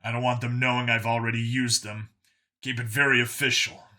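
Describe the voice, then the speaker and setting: Man as a blunt, sharp-voiced hardened cop. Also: mid-range voice, brisk. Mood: brisk, businesslike. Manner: flat, blunt, deadpan. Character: hardened, blunt human lawman, grimly sardonic.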